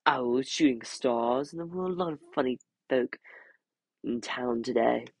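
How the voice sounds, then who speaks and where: A man's voice: mid-range voice, fairly even intonation, unhurried, darkish tone, breathy edge, no echo. A man voicing a nervous, stuffy middle-aged husband who hesitates and stammers, in a storybook reading.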